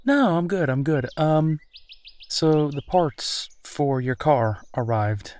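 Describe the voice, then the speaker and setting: A man's voice: a little high, expressive, slow pace, a little mellow. A man playing a friendly, easygoing werewolf in a roleplay audio drama, talking casually and reassuringly with small hesitations. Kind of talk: roleplay audio drama, natural one-sided conversation.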